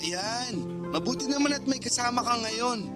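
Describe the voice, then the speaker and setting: An eager young boyfriend in a radio drama, with a very high-pitched voice for a man. He is earnest and apologetic, pleading over clearly audible guitar music. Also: lively intonation, normal speed.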